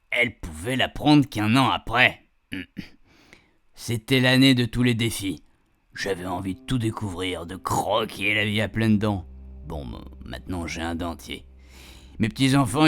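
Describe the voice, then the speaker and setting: A man's voice: lowish voice, animated, moderate pace, a bit bright. A man playing a chatty, cheeky retired grandpa who rambles colloquially through a radio fiction monologue. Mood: cheeky, reminiscing. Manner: rambling, colloquial, repeatedly checking his puns landed. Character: chatty retired grandpa, cheeky, self-amused, homespun storyteller.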